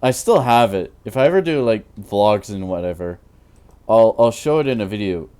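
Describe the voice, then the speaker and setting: Tired young guy with a deep low voice, rambling game commentary, smooth tone. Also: animated, moderate pace, mellow. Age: 20s. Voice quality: naturally deep voice. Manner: rambling, hesitant, many fillers. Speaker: Minecraft YouTuber.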